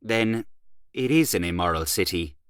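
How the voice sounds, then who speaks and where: A man's voice: low-pitched, lively intonation, moderate pace, clean tone, dry recording, studio quality. A man voicing a shy young male clerk who speaks timidly and hesitantly.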